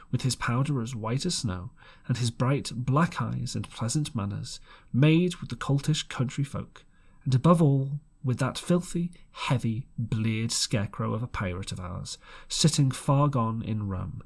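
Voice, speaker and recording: Male audiobook narrator, mid-pitched, husky, animated and a little halting. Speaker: audiobook reader. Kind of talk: expressive amateur storybook narration.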